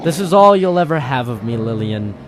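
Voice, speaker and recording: A man's voice: medium pitch, big pitch swings, fairly quick, background noise, close to an everyday voice. A man playing a weary, wry private detective, narrating and talking tersely in a noir radio drama. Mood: wry, matter-of-fact. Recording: music playing behind the voice.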